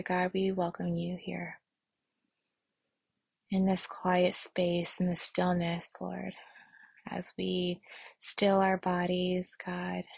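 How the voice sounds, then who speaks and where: Female meditation guide: lowish, soft and breathy, soothing, unhurried, prayerful delivery with noticeable vocal fry. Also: no room sound. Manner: soothing, unhurried, with long pauses. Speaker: meditation instructor.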